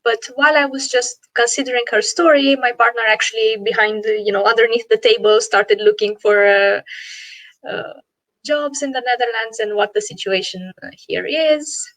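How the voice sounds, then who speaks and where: Romanian-accented woman, fairly high and dry; thoughtful guest on a video call. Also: moderate pace, a bit bright, no room sound, rough recording. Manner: reflective, with many "uh" and "you know". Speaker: guest, NLP coach and founder. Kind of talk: online interview, personal story.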